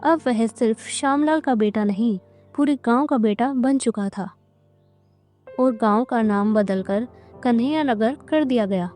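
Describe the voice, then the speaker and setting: A woman's voice: a little high, dark tone. A woman narrating a scripted cartoon moral story as its storyteller.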